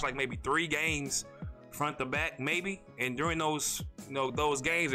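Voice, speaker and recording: Man, stutter, bright tone, faint music behind. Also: mid-range voice. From North Carolina, USA. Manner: rambling, slangy, fast talker with a stutter. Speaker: podcast host. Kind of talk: casual solo podcast monologue.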